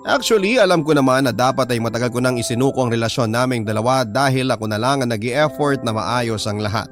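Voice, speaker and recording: A man with a Filipino accent narrating a personal drama story in the first person, as a storyteller reading a letter. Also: mid-range voice. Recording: music bed under the voice. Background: prominent background music.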